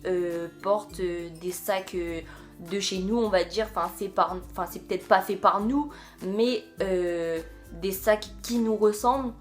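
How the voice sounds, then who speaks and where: Young French woman, casual vlogger, halting chatty delivery, faint music underneath. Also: medium pitch. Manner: chatty, many fillers and hesitations. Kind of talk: casual video chat.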